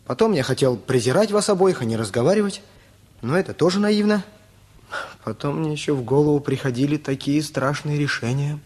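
Man playing husky lovesick teenage boy. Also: on the high side, darkish tone, natural voice. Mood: anguished, confessing. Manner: earnest, halting, confessional. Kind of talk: radio play acting.

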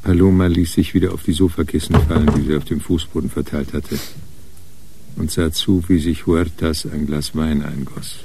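An actor as the matter-of-fact narrator of a radio crime story, telling it in a measured, scripted way. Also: male voice, very deep voice, fairly even intonation, vocal fry, background noise.

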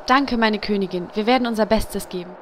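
A woman playing a sharp-tongued adventurer in a comedic audio drama, dry and teasing. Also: medium pitch, fairly quick, slight background noise, lightly acted. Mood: respectful.

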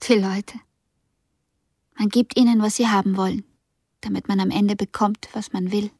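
Woman playing a wry young lady pianist in a radio play, breathy and gravelly, guarded, soft spoken. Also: mid-range voice, steady tone, normal speed, no room sound, clean studio sound, natural voice. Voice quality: breathy, husky with creak. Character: cool, wry young female concert pianist of the 1780s. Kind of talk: German radio drama acting.

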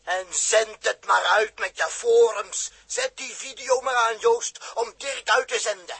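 An actor playing a bitter, hot-headed space crewman with a gravelly rasp and a biting, scornful 1960s radio drama delivery. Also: extremely high pitch, steady tone, a little slow, a bit bright, exaggerated voice. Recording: dry old 1960s radio recording.

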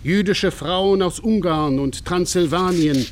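A man reading a scripted radio documentary narration in a solemn, measured manner. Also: high voice, slight background noise.

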